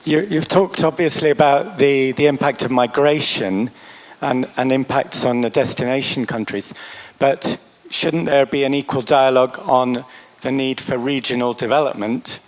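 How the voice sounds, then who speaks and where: A man's voice: medium pitch, moderate pace. A man in the audience asking an earnest, argued question.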